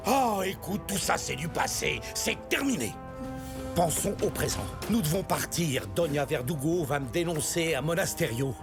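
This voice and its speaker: A scheming middle-aged pirate patriarch from a cartoon. His voice is fairly high and creaky, with big theatrical swings as he blusters and orders, over clear background music. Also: expressive, moderate pace. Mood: defensive, blustering. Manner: theatrical, imperious. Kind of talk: animated series dubbing.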